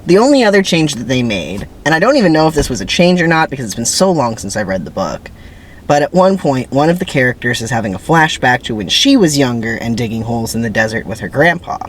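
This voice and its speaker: A man's voice: medium pitch, big pitch swings, fast, slight background noise, low-quality recording. A man giving casual, rambling commentary to viewers in a wry, conversational way with emphatic asides. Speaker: video creator introducing a dramatic script reading.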